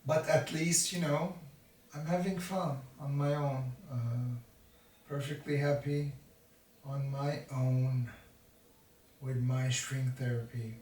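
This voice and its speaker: A man in his fifties rambling in a slow, self-mocking monologue to his vlog viewers, making jokey asides to himself. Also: mid-range voice, fairly even intonation, darkish tone. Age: 50s. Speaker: vlogger. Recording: roomy, from a distance.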